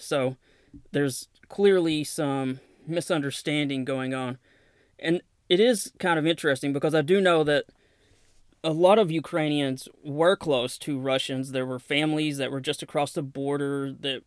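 A man's voice: mid-range voice, clear, resonant tone, dry recording, studio quality. A man with a Southern accent hosting a podcast, talking casually through defense news and reading press releases aloud with plain asides. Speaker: podcast host.

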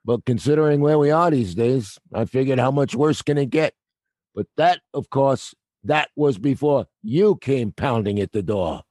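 An actor playing a gruff theater night watchman with a blunt, working-class New way of talking, in an audio drama. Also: mid-range voice, lively intonation, moderate pace, a little mellow, dry recording, close to an everyday voice.

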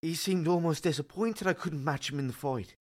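An actor playing a wounded Saxon fighter in an audio drama, bleak, haunted and resigned to defeat. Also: male voice, fairly high pitch, a little fast, natural voice. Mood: bitter despair.

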